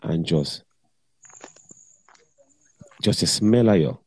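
A man's voice: sits low, expressive, drawn-out words, a little mellow, a little hiss or hum. A middle-aged man, talking bluntly and crudely in patois in a casual chat.

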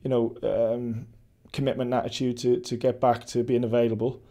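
A Welsh man in his forties, a football head coach, giving a measured post-match interview with many restarts and fillers. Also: medium pitch, level tone, warm tone. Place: indoor press backdrop at a stadium.

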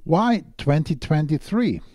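A man's voice: on the high side, lively intonation, slow, mellow, no room sound, studio quality. A man giving a formal, courteous scripted introduction as a podcast interviewer.

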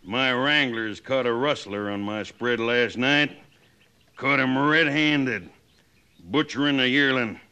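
An actor playing a blustering, bullying rancher in an old-time radio western, with a folksy, mocking, pushy drawl. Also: male voice, mid-range voice, slow pace, pushed and bright, clean tone, highs cut off.

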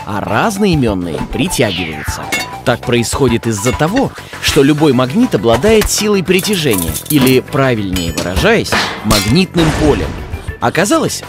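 A man playing a playful science explainer, narrating an educational children's cartoon in a didactic, scripted way. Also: medium pitch.

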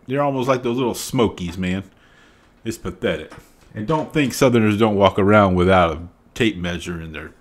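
A middle-aged Southern man with a somewhat low voice talks into a studio mic in a small home room. His chat is casual and emphatic, full of asides and ready laughter. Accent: Southern American. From Southern United States. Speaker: reaction video host. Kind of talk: casual commentary. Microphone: studio mic on arm, wearing headphones.

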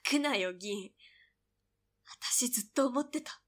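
A woman's voice: on the high side, animated, a little slow, fairly bright tone. A woman voicing a devoted tomboyish young girl in a voice drama, blunt yet tender. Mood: tender, resigned. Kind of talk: Japanese voice drama acting.

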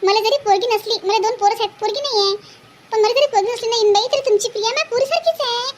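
A woman plays a chatty, reassuring cartoon mother-in-law with a Varhadi Marathi accent. She rattles on emphatically at great speed in a very high pitched-up voice, over some background noise. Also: fast. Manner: emphatic, repetitive. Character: reassuring, talkative middle-aged mother-in-law. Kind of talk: homemade cartoon family drama.

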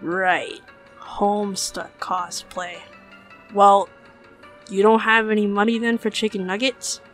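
A woman voicing an apathetic fast-food cashier in a cartoon comic dub, weary and unimpressed. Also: medium pitch, faint music behind. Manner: flat, hesitant, unimpressed.

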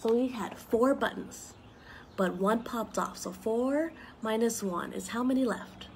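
Woman, 30s, higher-pitched, animated children's read-aloud with chatty asides; outdoor home video with noticeable background noise. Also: measured pace. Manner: animated, addressing young children with questions. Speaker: storyteller reading aloud to children. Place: outdoor wooden deck with trees around. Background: clear outdoor ambient noise.

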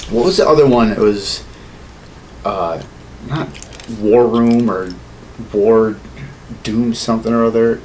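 Casual male podcast co-host, 30s, low voice, rambling and sweary, clear room noise behind. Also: slow pace, long pauses, darkish tone, rough recording. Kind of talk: casual opinionated chat about films and games. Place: small home studio room, indoors.

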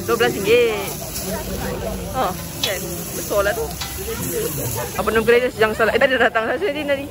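A Malaysian man with a strikingly high voice chats playfully as he films. He tosses out quick asides. A bustling crowd fills the background. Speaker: vlogger. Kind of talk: casual chat while filming. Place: crowded outdoor market. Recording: busy crowd behind.

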